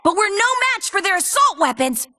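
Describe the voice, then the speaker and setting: A woman's voice: extremely high pitch, big pitch swings, brisk, sharp tone, no echo, clean studio sound, exaggerated voice. A woman playing a brave, sassy adventurer in a video game, briefing heroes with upbeat confidence and wry asides. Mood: urgent, determined. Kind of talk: video game character voice lines.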